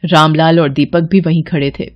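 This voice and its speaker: A woman's voice: deep voice, steady tone, moderate pace, highs cut off. A woman storyteller reading scripted narration for a children's moral story.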